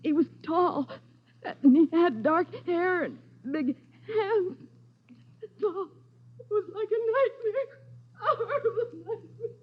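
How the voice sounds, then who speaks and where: An actress playing a traumatised young housewife in an old radio melodrama, tearful and sobbing. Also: female voice, very high-pitched, slow, pauses often. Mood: tearful, shaken. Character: traumatised young housewife, female crime victim. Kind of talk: 1940s-50s radio melodrama acting. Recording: dull vintage radio, loud music.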